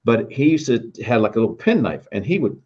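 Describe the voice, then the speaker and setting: Older american guy telling old radio stories, medium pitch, acts out who said what, kinda muffled audio. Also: a little fast, husky. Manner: animated, acts out quoted dialogue. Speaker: veteran radio announcer telling career memories. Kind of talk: casual anecdotal storytelling.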